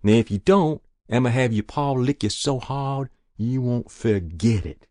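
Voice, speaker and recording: Storybook mother, played by a man: rural Southern, stern, blunt, scolding, exasperated, lively higher-pitched delivery. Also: a little slow, no room sound, clean studio sound, close to an everyday voice. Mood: firm, relenting.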